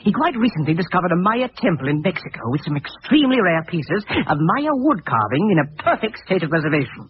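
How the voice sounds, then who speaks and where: High-pitched, fussy museum director. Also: male voice, big pitch swings, fairly quick, few pauses. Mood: eager, fussy. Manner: prissy, pompous, sputtering. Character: fussy, haughty, easily flustered male museum director. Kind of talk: 1940s radio acting. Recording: muffled old radio broadcast, faint hiss.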